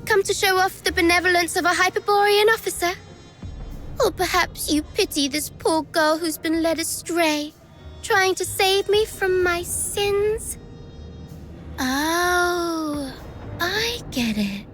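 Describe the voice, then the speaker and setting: A woman voicing a treacherous young villainess who taunts sarcastically and gleefully at a slow, theatrical pace. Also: very high voice, a bit bright, exaggerated voice. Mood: mocking. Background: faint music.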